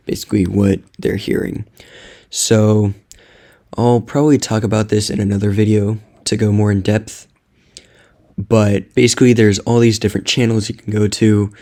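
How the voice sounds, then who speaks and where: A man giving a casual, informal product demonstration as a video host, speaking in a somewhat hesitant, halting way. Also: on the low side, creaky, a little mellow. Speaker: video host, radio hobbyist.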